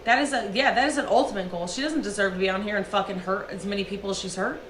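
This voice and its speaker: A woman's voice: mid-range voice, fairly even intonation, quick pace, fairly bright tone, reverberant, slight background noise, rough recording. A woman livestreamer delivering a profane, emphatic rant.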